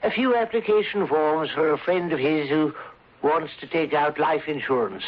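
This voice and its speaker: High-voiced, flustered office clerk, played by a man. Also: normal speed, natural voice. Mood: chatty, eager. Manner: flustered, stammering, eager to please. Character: Adams, deferential, hesitant office clerk. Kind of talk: 1970s radio drama acting. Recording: dull old radio recording.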